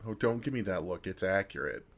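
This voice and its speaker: A man playing a kind, wry older vampire who comforts a newcomer in an intimate, gentle ASMR roleplay, with dry humor and unhurried pauses. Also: low-pitched, lively intonation, vocal fry. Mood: wry, protective.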